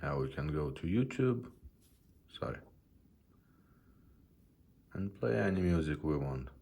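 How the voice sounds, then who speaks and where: A man presenting a casual product demonstration in an informal, halting way, in short phrases. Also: a bass voice, expressive, vocal fry, darkish tone, husky. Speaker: presenter demonstrating a retro car radio.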